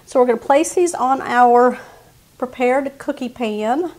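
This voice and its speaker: A woman's voice: sits high, a little slow, darkish tone. A woman, giving friendly step-by-step baking instructions in her home kitchen. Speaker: cooking channel host. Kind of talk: casual cooking demonstration.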